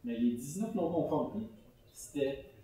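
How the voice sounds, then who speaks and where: Québécois man presenting at a conference, mellow voice, heard from a distance in a reverberant hall. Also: a little high, big pitch swings, normal speed. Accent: Québécois French. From Canada (Quebec). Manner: conversational, explanatory with many fillers. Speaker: conference speaker. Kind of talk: conference talk answering questions. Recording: echoey hall recorded from far off.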